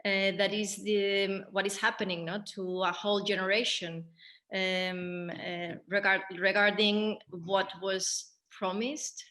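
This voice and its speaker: A woman's voice: mid-range voice, level tone, measured pace, a bit bright. A woman moderating a panel discussion, speaking hesitantly as she searches for words.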